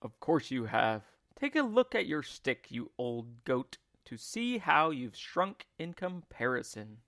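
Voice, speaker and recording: A man voicing a gleefully cruel, scheming husband in a storybook, taunting with emphatic, drawn-out words. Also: medium pitch, very animated, a bit bright, dry recording, clean studio sound, heavily acted. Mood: mocking, loud.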